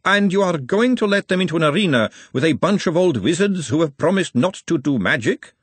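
Man putting on a high, haughty aristocratic lady. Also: huge pitch swings, normal speed, breathy edge, no echo. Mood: disdainful, challenging. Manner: haughty, formal, pointed. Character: haughty, imperious aristocratic lady, sharp and disdainful.

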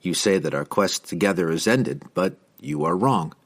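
Brief: earnest young mage woman, voiced by a male narrator, husky tone. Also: low-pitched, sing-song, moderate pace, full character voice. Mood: insistent. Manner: insistent, earnest.

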